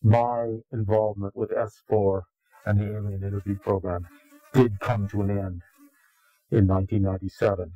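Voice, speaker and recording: A man giving an interview in a slow, grave, deliberate way, pausing often between phrases. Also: fairly low pitch, flat delivery, highs cut off. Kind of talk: ominous recorded interview.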